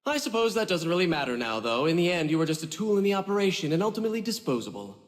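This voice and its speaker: High-pitched, swooping, smug male schemer. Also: big pitch swings, very fast. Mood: mocking. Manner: theatrical, mocking, drawling. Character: smug, flippant male schemer, theatrical and condescending. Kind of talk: English anime game dub acting. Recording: long hall reverb, music underneath.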